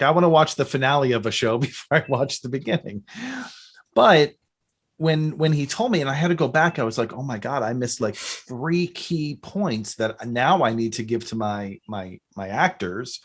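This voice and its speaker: A middle-aged man chatting casually as a podcast guest, telling rambling, animated stories. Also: mid-range voice. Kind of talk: casual interview chat.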